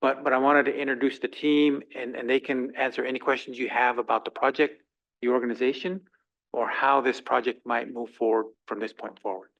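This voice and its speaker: A man of middle pitch introduces a visiting team at a council meeting, halting and full of ums as he searches for words. The audio is muffled and lo-fi. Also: flat delivery, vocal fry, fairly bright tone. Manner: hesitant, many ums and restarts. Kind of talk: formal presentation at a council meeting.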